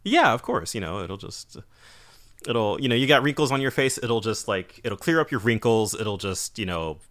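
Co-host guy, kinda low voice but swings all over, super excited, laughs a lot. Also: normal speed. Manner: enthusiastic, laughs often, does goofy quote voices. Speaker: podcast co-host. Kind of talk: casual podcast chat.

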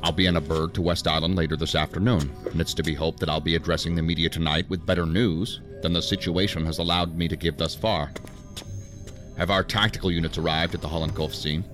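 Man playing really deep-voiced agency boss, stern, dry, formal, sci-fi audio drama with music behind. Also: steady tone, close to an everyday voice. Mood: stern, controlled. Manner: formal, measured, authoritative. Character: stern, dry male assistant director of investigative agency. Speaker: senior law-enforcement official.

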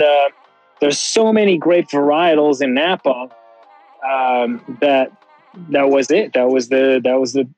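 A man from Morocco whose first language is French, telling his story as an interview guest in a halting, conversational way with pauses mid-phrase. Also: on the high side, a little mellow, dry recording, rough recording. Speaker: interview guest, wine brand owner.

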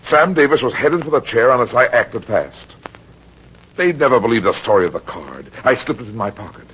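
Dull old radio recording, shrewd heavyset private eye, American, hard-boiled, husky, animated pitch. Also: male voice, fairly quick. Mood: narrating tersely. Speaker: actor playing detective and narrator. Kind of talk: old-time radio detective drama acting.

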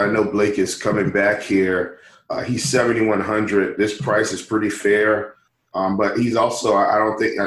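A hoarse man from the US East Coast, talking eagerly as a podcast guest, with stammering restarts. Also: on the low side, reverberant, low-quality recording. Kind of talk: fantasy basketball podcast chat.

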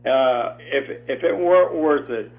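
A man's voice: mid-range voice, a little slow, creaky, soft background music. Rambling 89-year-old man on a phone line. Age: 80s. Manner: rambling, repeats words, chatty. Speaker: guest. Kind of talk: casual phone interview. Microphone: telephone.

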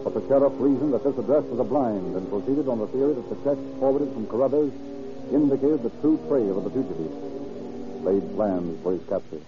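An actor narrating an old-time radio crime drama in a solemn, measured storytelling style. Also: male voice, medium pitch, dull audio, close to an everyday voice. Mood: measured, dramatic. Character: solemn male narrator of a true-crime case. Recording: dated band-limited radio recording, music underneath. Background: music.

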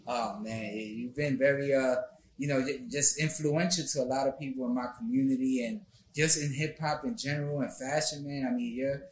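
A man's voice: mid-range voice, fairly even intonation, brisk, slight background noise. A man hosting a podcast interview, effusive and casual in his address, with a showman's way of introducing things. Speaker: podcast host. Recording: echoey room.

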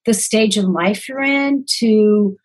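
A woman's voice: mid-range voice, slow. A woman, telling her own story conversationally as an interview guest. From born in Greece, grew up in the United States. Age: 40s. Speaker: podcast guest, holistic nutritionist.

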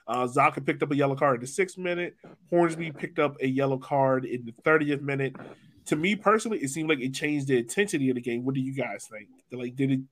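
A man's voice: mid-range voice, a bit bright. A man hosting a casual, conversational sports discussion as a podcast host.

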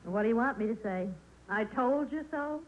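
Casting: woman as wry American wife, patient and sensible, lively highish voice, vintage radio sitcom. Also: normal speed, muffled recording, natural voice. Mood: dry exasperation. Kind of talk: 1940s radio comedy acting. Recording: noisy old radio broadcast recording.

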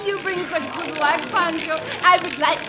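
An actress playing a giggly young farm daughter with a German accent in a vintage radio western. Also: female voice, extremely high pitch, steady tone, slow pace. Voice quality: giggly, breathless. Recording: old radio broadcast with music and crowd.

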